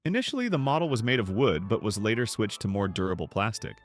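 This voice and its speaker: A man reading a scripted, nostalgic documentary narration in a measured, polished way. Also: lowish voice, big pitch swings. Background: background music.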